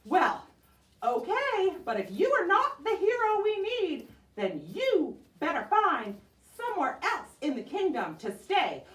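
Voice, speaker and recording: Woman playing a high, melodramatic puppet castle dweller. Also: wildly swooping pitch, a little slow, slight background noise, full character voice. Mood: haughty. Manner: melodramatic, emphatic. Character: dramatic, anxious castle dweller pleading for a hero. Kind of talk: children's puppet show. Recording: roomy echo, distant microphone.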